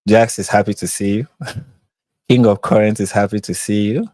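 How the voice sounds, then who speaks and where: A man's voice: on the low side, steady tone, normal speed, a little mellow, dry recording. A man with a Nigerian accent, hosting a casual live stream and laughing often as he chats. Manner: laughs often, chatty and playful. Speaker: host.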